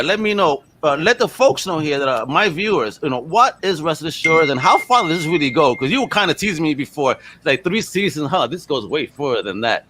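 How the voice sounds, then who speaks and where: Guy hosting a podcast, bright punchy voice kinda high, super hype, lots of slang. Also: slight background noise. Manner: hype-man enthusiasm, exclamatory. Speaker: podcast host. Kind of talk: upbeat casual interview chat with slang.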